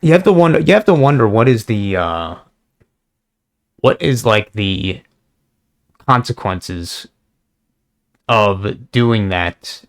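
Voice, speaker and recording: Young man, slightly hoarse, low-ish smooth voice, halting casual monologue, lots of ums. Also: expressive. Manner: halting, many fillers and restarts; casual and profane. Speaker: video response creator.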